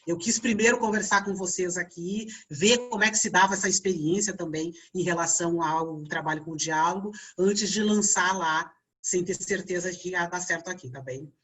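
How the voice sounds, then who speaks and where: A woman's voice: on the low side, normal speed, few pauses, fairly bright tone, slightly rough, low-quality recording. A woman with a Brazilian accent teaching an online class informally, often checking in with short tag questions. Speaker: teacher leading a theatre voice class.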